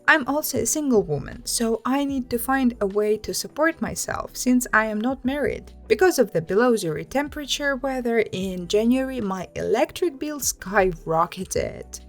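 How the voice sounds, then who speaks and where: A woman hosting a story channel, reading first-person written accounts aloud and adding casual commentary. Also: a little high. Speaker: host reading online stories with commentary.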